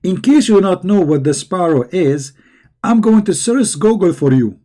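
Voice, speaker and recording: A hoarse man who is losing his voice, giving a sarcastic, scornful commentary. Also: high voice, expressive, moderate pace, mellow. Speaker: religious critic. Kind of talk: mocking video commentary.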